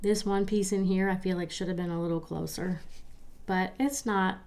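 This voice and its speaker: A woman from Baltimore hosting a crafting livestream, chatting softly and a little stuffy as she reads viewers' comments. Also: mid-range voice, normal speed. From Baltimore, Maryland. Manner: relaxed, chatty, reads viewer comments aloud with small laughs. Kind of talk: soft-spoken live crafting chat.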